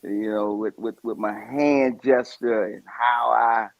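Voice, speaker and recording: A stage actor in a casual chat, telling about his scene with excitement and animation. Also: medium pitch, slow, gravelly, dry recording, muffled recording.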